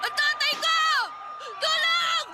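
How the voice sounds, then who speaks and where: A woman's voice: very high voice, little pitch movement, takes it slowly, a crowd in the background. A woman playing an anxious, devoted young son in a Tagalog radio drama, speaking respectfully and with open emotion. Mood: panicked, grieving. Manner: respectful, pleading, emotional delivery. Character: anxious, devoted young boy named Boyet, a poor man's son.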